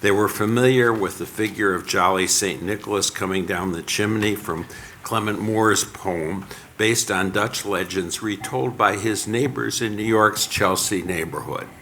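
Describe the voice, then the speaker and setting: An older man lectures from a podium in a very low, forceful voice. He keeps to a measured pace, as if reading from a prepared text. A faint hum of the room sits behind him. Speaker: panel speaker. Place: lecture hall.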